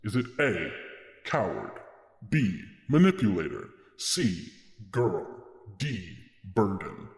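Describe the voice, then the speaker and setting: A game master voicing the true voice of a sinister, uncanny stranger, asking slow, menacing questions with an echoing reverb. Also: sits low, big pitch swings, pitched below the performer's own voice, exaggerated voice. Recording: roomy long echo.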